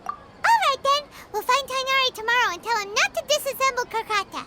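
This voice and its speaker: A woman voicing a small, childlike, earnest fairy companion in a video game, expressive and chirpy, with music behind the voice. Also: extremely high pitch, brisk. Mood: worried, earnest. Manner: refers to self in third person, expressive. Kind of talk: video game character voice acting.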